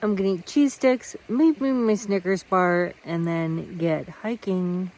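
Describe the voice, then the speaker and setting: A woman's voice: lowish voice, slow pace, darkish tone, low-quality recording. A woman vlogging casually on a mountain trail outdoors, rambling and thinking aloud into a handheld camera. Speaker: thru-hiker vlogger.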